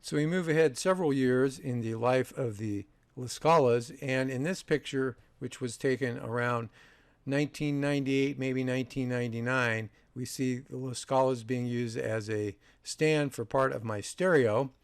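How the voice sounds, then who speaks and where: Man in his 60s, gravelly creaky voice, measured reminiscing narration, dry humour, close dry recording. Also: mid-range voice, dry recording. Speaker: video host, audio reviewer. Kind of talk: narration over a photo slideshow.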